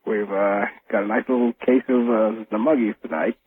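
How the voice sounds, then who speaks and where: An American man calling a radio show by telephone, speaking casually in a halting, hesitant way and repeating himself. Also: on the low side, fairly even intonation. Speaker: radio phone-in caller. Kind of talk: casual phone-in talk. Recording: narrow, tinny phone line on radio.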